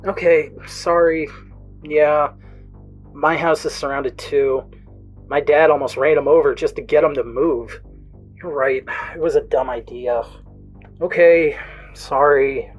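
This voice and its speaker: A man plays an earnest teenage boy in an audio drama. His voice is husky and fairly high, with an awkward, hesitant manner. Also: fairly even intonation, slow, pauses often, faint music behind. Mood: nervous, awkward. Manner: hesitant, apologetic. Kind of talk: first-person audio drama narration and dialogue.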